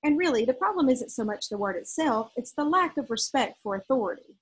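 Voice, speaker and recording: A woman's voice: high voice, expressive, husky. A woman voicing a formal female school principal in a storybook, defending standards in an official manner. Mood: stern, reasonable. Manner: measured, official, sometimes hesitant.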